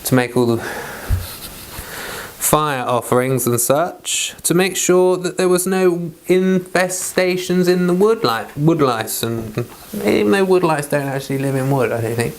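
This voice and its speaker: British man, slightly high voice, Bible read-along, informal commentary, rambling delivery. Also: lively intonation, measured pace, a little hiss or hum. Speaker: Bible reader and teacher.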